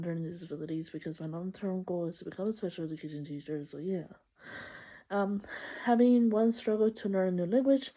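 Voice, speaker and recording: Upbeat young woman, warm muffled voice. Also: mid-range voice. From El Salvador. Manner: upbeat and chatty, laughs often, many fillers and self-corrections. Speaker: student introducing herself. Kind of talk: class introduction video. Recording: slightly muffled lo-fi recording.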